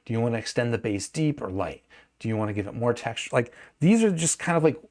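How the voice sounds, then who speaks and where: A man's voice: medium pitch, lively intonation, fast, darkish tone. A man giving a casual, unscripted product review in a conversational way, often hedging and thinking aloud as he goes.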